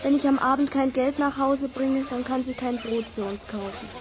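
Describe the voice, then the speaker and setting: A woman's voice: sits high, level tone, moderate pace, close to an everyday voice. A woman playing a shy, poor young flower-selling girl in an old children's radio play. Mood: sad.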